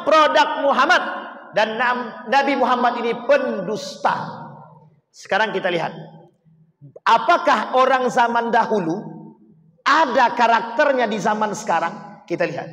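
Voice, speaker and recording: A man's voice: very high-pitched, sharp tone. A man preaching an Islamic sermon to a congregation, emphatic and rhetorical, putting questions to his listeners. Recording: echoey hall with long reverb.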